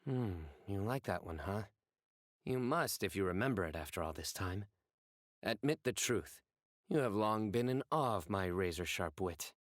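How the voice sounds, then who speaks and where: An actor playing a composed young investigator in a video game, deadpan and formal, dryly proud of his puns. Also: on the low side, no room sound, natural voice. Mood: smug deadpan. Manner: deadpan, measured, formal phrasing.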